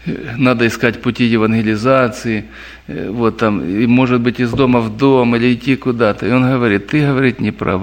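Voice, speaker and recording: A man telling stories and answering questions at a church meeting, lively and anecdotal, addressing the listeners as brothers. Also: mid-range voice, creaky.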